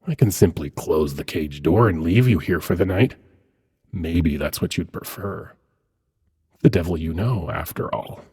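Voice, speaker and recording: A man playing a suave, haughty demon prince in a dramatic audio roleplay, speaking in a husky, measured, courtly and condescending manner. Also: low voice, a little mellow, full character voice. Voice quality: husky, breathy. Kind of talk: dramatic ASMR roleplay monologue.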